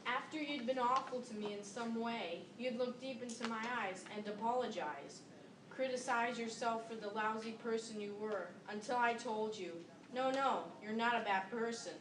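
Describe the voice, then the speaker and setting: A seventh-grade girl recites a monologue in a fairly high voice. The recording has clear noise and a strong room echo. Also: moderate pace, distant mic. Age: about 12 to 13, seventh grader. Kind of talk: readers theater recital of a scripted monologue.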